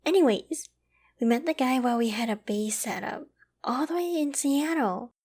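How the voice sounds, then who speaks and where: A woman's voice: sits high, expressive, moderate pace, darkish tone. A woman playing an obsessive, lovesick young survivor in an intimate roleplay drama, sweetly controlling, affectionate and possessive. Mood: excited, smitten. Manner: affectionate, giddy, possessive, with breathy sighs and giggles.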